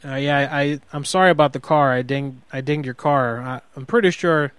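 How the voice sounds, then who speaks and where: A man acts out a hot-headed husband on a prank call, in a slightly high, very clear voice. He rambles and stumbles through sheepish self-justifications, recorded close and dry. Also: brisk, fairly bright tone, dry recording, close to an everyday voice. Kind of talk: improvised prank phone call.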